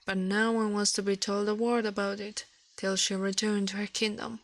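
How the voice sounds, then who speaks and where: A woman's voice: mid-range voice, little pitch movement, moderate pace, no room sound. Italian-accented woman reading a story, close and dry. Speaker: livestream host, storyteller.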